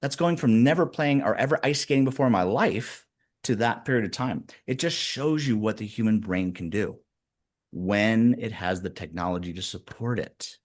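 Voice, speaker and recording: A man in his fifties, a guest executive coach, telling stories in a casual interview with lively, shifting pacing. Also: on the low side. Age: 50s. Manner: animated storyteller, speeds up and slows down.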